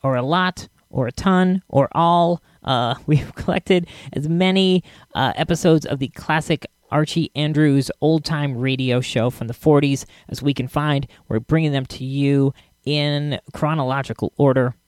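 Higher-voiced man hosting a podcast. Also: steady tone, a little slow, no echo. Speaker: podcast host. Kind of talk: casual podcast introduction.

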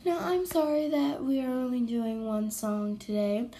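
A young woman hosting her own podcast, chatting casually and rambling with self-corrections, her voice hoarse from allergies. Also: a little high, steady tone, measured pace, mellow, a little hiss or hum. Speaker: podcast host. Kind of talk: casual solo podcast chat.

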